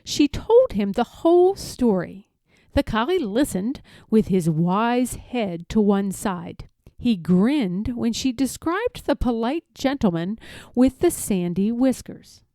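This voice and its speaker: A woman narrating a children's story aloud as an expressive storyteller, reading from scripted text. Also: a little high, slow pace, no room sound.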